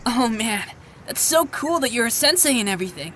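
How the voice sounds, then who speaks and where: A performer playing an eager, loyal little boy ninja in an audio drama, excitable and insistent. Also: mid-range voice, brisk, slight background noise, natural voice. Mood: insistent, admiring. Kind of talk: fan audio drama acting.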